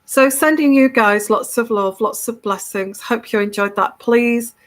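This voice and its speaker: A woman's voice: fairly high pitch, a little slow. Congested woman chatting to camera. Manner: chatty, keeps tagging "okay?", many restarts. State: congested from a cold. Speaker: tarot reader hosting her channel. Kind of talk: casual solo video monologue.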